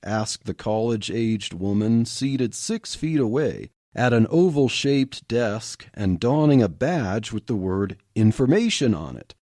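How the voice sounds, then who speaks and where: A man hosting a podcast, reading a story aloud with expressive narration. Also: lowish voice, a little slow, few pauses, no echo, clean studio sound.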